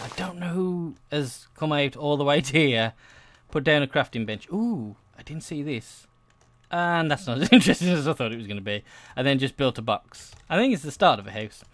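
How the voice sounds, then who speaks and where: A man's voice: on the high side, fairly bright tone. A young adult man giving casual, meandering gameplay commentary, often hesitating and interrupting himself. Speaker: gaming video host.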